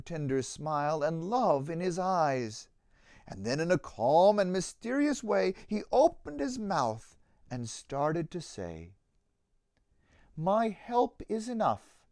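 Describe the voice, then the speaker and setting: A man, a pastor, reading a rhyming children's story aloud in a dramatic, deliberate, sing-song manner. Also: a little high, darkish tone, no room sound, studio quality.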